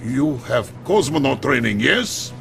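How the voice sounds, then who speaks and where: An actor playing a jovial, bombastic older Soviet premier with a Russian accent and effusive, grandiose delivery in a video game cutscene. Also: male voice, mid-range voice, sing-song, a little slow, vocal fry, music in the background, exaggerated voice. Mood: pleased, then grave.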